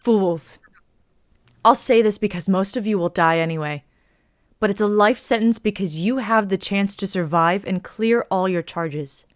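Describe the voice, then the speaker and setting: A woman's voice: mid-range voice, a little slow, no echo, clean studio sound, natural voice. A woman reading the part of a tough, threatening female ship captain in a live dramatic reading, commanding and mocking.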